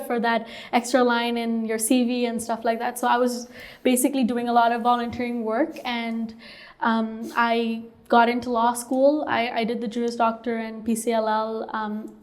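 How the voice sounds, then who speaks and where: A young woman from Hong Kong, a startup co-founder, telling her story earnestly in an interview with many "like"s and "you know"s. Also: a little high. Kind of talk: interview answers.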